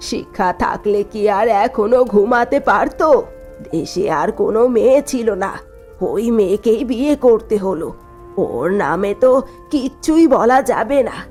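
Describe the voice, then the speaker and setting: A woman's voice: a little high, darkish tone. A woman voicing a bitter, domineering middle-aged mother-in-law in a cartoon, sneering and complaining as she talks. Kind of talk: cartoon voice acting.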